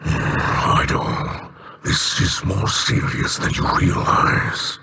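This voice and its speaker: An actor playing a grave old alien chieftain and father in a video game, with a gravelly voice. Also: slow pace, sharp tone, slight background noise.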